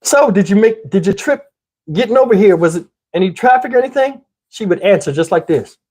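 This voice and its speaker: A man with a husky, slightly rough voice tells a personal story as the host of his own channel. His delivery is casual and slangy. He animatedly plays out both sides of conversations for comic effect. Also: sits high, brisk. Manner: animated, slangy, acts out dialogue.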